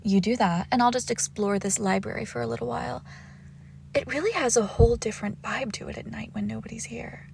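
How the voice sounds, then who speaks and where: Woman acting a teasing, haughty young vampire, slightly creaky. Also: on the high side, fairly quick, darkish tone, slight background noise. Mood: playful, smug. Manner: teasing, coy, entitled. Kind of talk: intimate roleplay audio acting.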